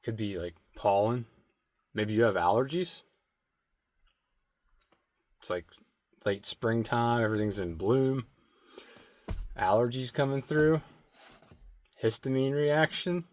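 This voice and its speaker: A man giving a slow, meditative spirit card reading with a strained, slightly hoarse throat and many filler words. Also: mid-range voice, no echo. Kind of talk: intuitive oracle card reading.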